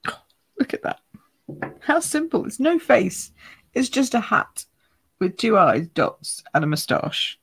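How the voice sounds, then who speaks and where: A chatty woman demonstrates card making live, her croaky voice full of gravelly fry. She thinks out loud as she stamps and colours, recorded dry and close at her worktable. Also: medium pitch, very animated, measured pace, pauses often, no room sound. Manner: chatty, thinks aloud, greets viewers by name. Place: home craft room.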